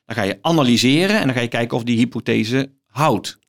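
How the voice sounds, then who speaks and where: A middle-aged man explaining books in conversation as an interview guest, thoughtfully and haltingly, repeating words as he searches for phrasing. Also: mid-range voice, gravelly, a bit bright. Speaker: guest, professor.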